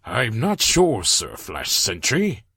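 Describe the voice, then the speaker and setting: Give this storybook servant, an old stallion, a creaky voice that takes its time. He is humble and kind, a little timid, and courteously formal with his master. The recording is close and dry. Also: lowish voice, huge pitch swings, fairly bright tone, no echo, voice pushed up, full character voice. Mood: hesitant, deferential. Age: older. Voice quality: gravelly, raspy. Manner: slow, halting, formal and courteous. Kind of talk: acted character voice in a story reading.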